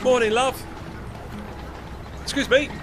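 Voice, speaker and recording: A man's voice: very high-pitched, fairly even intonation, slow pace, music in the background. An actor playing a chatty, deferential working-class tugman with a London Cockney accent and a rambling, folksy manner in a period audio drama. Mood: cheerful, deferential.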